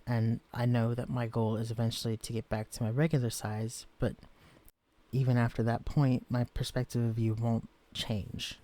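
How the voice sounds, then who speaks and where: Male actor as a shrunken romantic lead, guarded yet tender, earnest and soft-spoken, for a listener-directed audio drama; ordinary mid-pitched male voice. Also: little pitch movement, normal speed, close to an everyday voice. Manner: earnest, vulnerable, softly confessional. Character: shrunken man, tender but guarded, easily shaken.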